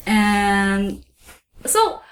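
A woman's voice: medium pitch, big pitch swings, very slow. A woman podcast host whose first language is Chinese, speaking English with a Chinese accent in a chatty, wry way and sometimes searching for words. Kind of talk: casual conversation. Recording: echoey room sound.

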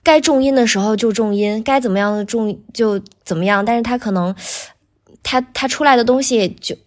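A woman with a voice pitched a little high, speaking casually as a guest voice actor on a podcast. She is tentative, qualifies what she says and circles back over her phrases. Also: brisk.

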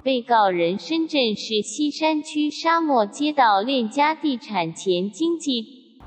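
A woman's voice: high-pitched, animated, takes it slowly. A woman playing a solemn, formal court clerk in a scripted audio drama, drawing out announcements. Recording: husky sound in long hall reverb.

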